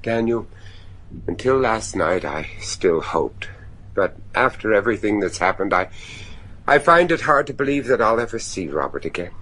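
Husky, haunted old judge. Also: male voice, fairly low pitch, lively intonation, fairly bright tone. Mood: anguished, pleading. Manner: theatrical, halting, emphatic. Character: grieving father, an anxious, haunted old judge. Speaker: actor in a radio play. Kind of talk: gothic horror radio drama acting.

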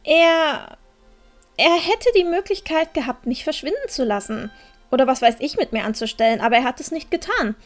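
A woman's voice: high voice, animated, moderate pace, soft background music. A woman playing an earnest, pragmatic young girl adventurer in a fantasy audio drama, explaining and pleading. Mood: earnest, urgent. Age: young adult. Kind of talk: fan-made fantasy audio drama acting.

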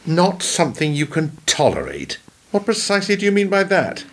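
An actor playing a principled, defiant former prime minister in a radio play, speaking in an earnest, oratorical way with a husky voice. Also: male voice, high voice, huge pitch swings, moderate pace, heavily acted. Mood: indignant.

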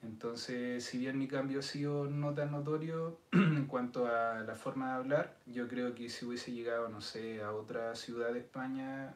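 Measured Chilean man, roomy sound. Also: mid-range voice. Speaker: podcast guest. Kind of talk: casual chat. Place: indoor studio set with city backdrop. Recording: roomy sound with faint noise.

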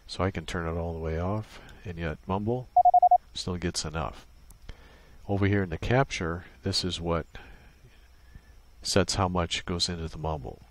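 A man's voice: deep voice, fairly even intonation, moderate pace, background noise. A man presenting an unscripted tutorial, explaining a setup casually, step by step. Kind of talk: casual unscripted software walkthrough.

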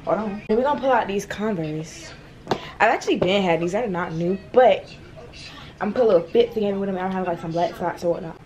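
A woman's voice: mid-range voice, a little mellow, soft background music, low-quality recording. A teenage girl in casual, playful vlog chat, talking to her phone camera at home and in a store. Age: teens. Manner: chatty, playful, quick asides and laughs. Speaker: vlogger. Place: at home in a bedroom, later in a large store. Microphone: phone camera held at arm's length.

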